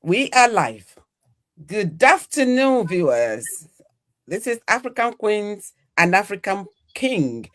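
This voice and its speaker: A woman's voice: medium pitch, sing-song, slow pace, fairly bright tone. Chatty Nigerian-born woman hosting. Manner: chatty, many "you know" fillers. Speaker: podcast host. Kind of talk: live online panel chat.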